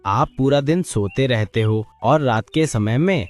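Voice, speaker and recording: A man's voice: medium pitch, lively intonation, a little slow, soft background music, natural voice. A man voicing a trusting, plain-spoken animal disciple in a cartoon, with an earnest, deferential manner. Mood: respectful. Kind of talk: Hindi cartoon story acting.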